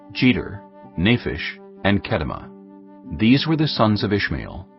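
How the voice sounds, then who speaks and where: A man reading scripted narration for a dramatized audio Bible in a measured, storytelling manner. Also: low-pitched. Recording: dull audio, faint music.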